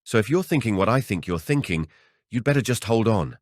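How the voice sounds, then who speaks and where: A man plays an older father-in-law in a horror audiobook, cautioning and exasperated. The voice is pushed up in pitch and recorded dry and close. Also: expressive, fairly quick, no room sound, lightly acted. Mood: stern warning. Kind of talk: audiobook character dialogue.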